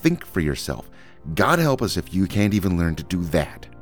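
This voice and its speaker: A man's voice: low-pitched, big pitch swings, moderate pace, faint music behind, voice pushed up. A man voicing a scornful, acid history teacher. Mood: lecturing. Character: sardonic, bitter male history teacher.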